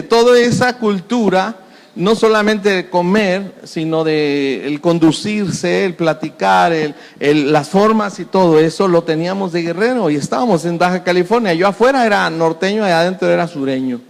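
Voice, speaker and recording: Reverberant, high-voiced pastor preaching. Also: male voice. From Mexico. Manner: conversational, with frequent repetitions and folksy sayings. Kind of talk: sermon. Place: church hall. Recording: reverberant church hall.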